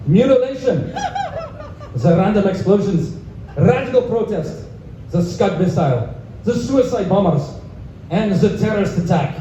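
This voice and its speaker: A man's voice: high-pitched, mellow. A man voicing a male pre-recorded travel hotline announcer in a put-on Lebanese accent that slips toward Scottish, in a stiff, formal recorded-message manner. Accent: put-on Lebanese, slipping toward Scottish. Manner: stiff, formal recorded-message delivery, slow list reading. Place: pub comedy room, live audience. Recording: echoey bar room, distant mic, dull sound, noisy.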